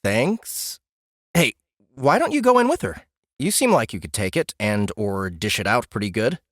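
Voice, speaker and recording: Man voicing earnest young hero guy, friendly, eager, lively pitch, dry close audiobook sound. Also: moderate pace, no room sound.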